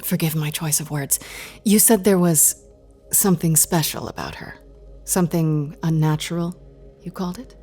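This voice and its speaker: A woman's voice: deep voice, dark tone, breathy edge, soft background music. A woman playing an anxious, earnest grief counselor in an audio drama, speaking in a hesitant, insistent way. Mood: calm, probing. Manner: hesitant, halting, earnest pleading.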